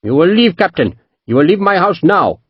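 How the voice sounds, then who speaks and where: A man's voice: mid-range voice, lively intonation, slow, breathy edge, no echo, muffled recording. A man voicing a merchant who answers with fierce defiance. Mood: defiant.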